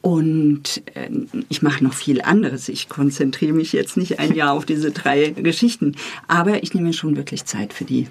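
A middle-aged woman with a slightly husky voice, talking in an engaged, explanatory way as an interview guest about her work. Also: low voice, moderate pace, a little mellow. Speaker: radio editor and radio drama author, interview guest. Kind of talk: lively explanatory interview talk.

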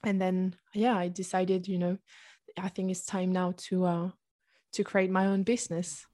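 A woman's voice: fairly low pitch, little pitch movement, moderate pace, dark tone. A woman in her twenties from France, speaking English with a French accent in a casual interview, informal and full of hesitant fillers. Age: 20s. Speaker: podcast guest, young entrepreneur.

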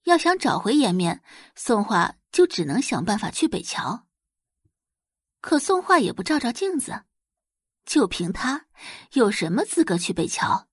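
Expressive, lively woman audiobook narrator. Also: fairly high pitch, a little slow, slightly rough, dry recording, clean studio sound. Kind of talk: scripted dramatic narration.

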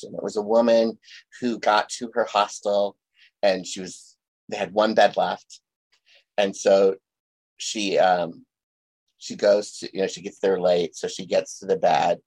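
Low, gravelly man hosting an interview. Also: no echo, poor audio. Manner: gentle, thoughtful, tells stories. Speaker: podcast host. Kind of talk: reflective interview chat.

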